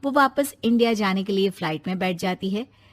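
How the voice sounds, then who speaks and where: A woman's voice: medium pitch, normal speed. A woman narrating a scripted moral story.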